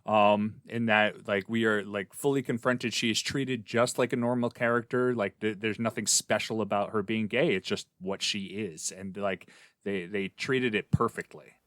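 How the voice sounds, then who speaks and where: Bright, dry-sounding man discussing books. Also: lowish voice, fairly even intonation, moderate pace, no echo. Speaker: podcast co-host. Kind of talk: casual book discussion.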